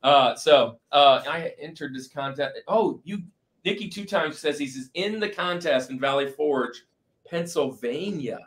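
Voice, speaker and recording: A man's voice: on the high side, lively intonation, unhurried, fairly bright tone. A middle-aged man hosting a podcast in casual, enthusiastic, informal chat. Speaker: podcast host.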